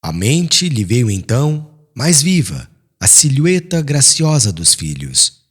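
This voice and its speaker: A man giving a solemn, expressive, dramatic reading of a literary novel as an audiobook narrator. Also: mid-range voice, husky.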